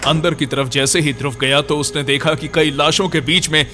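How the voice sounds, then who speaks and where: A male narrator tells a scripted horror story. His voice sits a little high, with music clearly playing behind it. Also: fairly even intonation, brisk.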